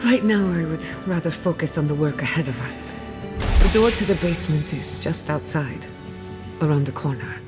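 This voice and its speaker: A woman playing a confident, dryly witty scholar in a video game, measured and guarded. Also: low-pitched, animated. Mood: businesslike. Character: driven, wry female scholar, guarded about family loss. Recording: music playing behind the voice.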